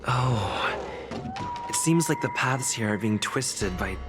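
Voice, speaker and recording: A man's voice: mid-range voice, huge pitch swings, slow pace, heavy background noise. A man playing an earnest young adventurer in a video game, talking to himself in a wondering, searching way. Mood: puzzled. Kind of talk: video game protagonist dialogue. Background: music.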